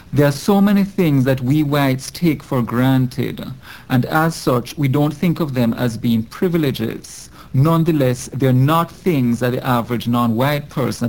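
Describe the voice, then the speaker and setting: A man from Jamaica with a Jamaican accent, a guest professor explaining ideas in a measured, thoughtful way with frequent pauses and ums. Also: mid-range voice, creaky, a little mellow. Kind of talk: thoughtful academic discussion.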